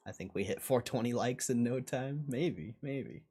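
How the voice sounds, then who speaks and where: A man's voice: mid-range voice, moderate pace, warm tone, breathy edge. Casual male livestream host. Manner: informal, slangy, repeats phrases. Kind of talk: casual livestream chat, reading out viewer comments.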